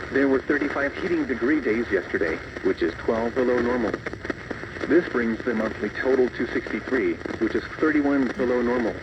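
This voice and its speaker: A man's voice: medium pitch, distant mic. A synthetic male announcer voice reading a scripted weather bulletin in an impersonal way, in short clipped phrases. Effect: synthetic text-to-speech voice. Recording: muffled, noisy radio reception.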